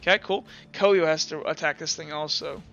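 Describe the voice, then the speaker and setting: A man's voice: a little high, steady tone, normal speed, vocal fry, dull audio, over music. A young man running a tabletop game as game master, talking casually, stumbling over rules and narrating gory combat.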